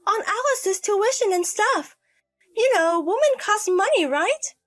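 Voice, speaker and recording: A woman's voice: very high voice, animated, unhurried, pitched above the performer's own voice. A woman voicing a selfish, irresponsible young single mother in a story drama, wheedling and petulant. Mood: pleading, whiny. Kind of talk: acted dialogue in a narrated drama story.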